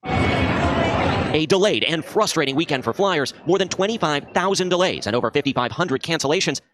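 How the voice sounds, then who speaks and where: A man's voice: fairly high pitch, animated, normal speed, very noisy. A man narrating a scripted news report as a correspondent.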